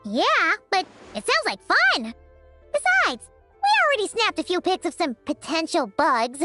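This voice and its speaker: A woman voicing a small, childlike, excitable fairy companion who is cheeky and chatty in a video game. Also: a little slow, soft background music, full character voice. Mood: cheerful. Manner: squeaky, exclamatory, refers to self in third person.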